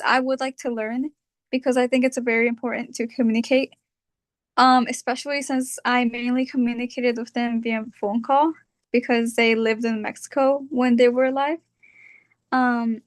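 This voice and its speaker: A young woman from California with a California accent, talking about her own experience in a reflective, hesitant way, often pausing on fillers. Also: a little high. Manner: hesitant, thinking aloud with fillers. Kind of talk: reflective personal talk.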